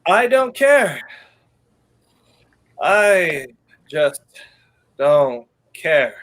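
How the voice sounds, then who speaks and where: A young man acts out a wry, weary fugitive hero from a 1930s radio thriller. He talks slowly and sardonically, with big swoops in pitch and theatrical timing. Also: big pitch swings, fairly bright tone, exaggerated voice. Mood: sleepy, dismissive. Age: young adult. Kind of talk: 1930s radio play acting.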